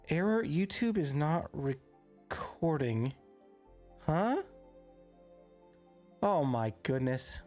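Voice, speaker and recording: Hoarse, lively streamer greeting chat. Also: mid-range voice. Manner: upbeat, greets viewers by name one after another. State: hoarse, recovering from illness. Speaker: live game streamer. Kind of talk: casual live-stream chat with viewers.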